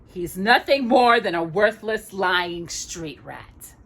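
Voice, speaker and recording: A woman voicing a cruel, power-mad male sorcerer in a storybook, with theatrical, drawn-out taunts and commands. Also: mid-range voice, expressive, fairly bright tone. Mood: sneering.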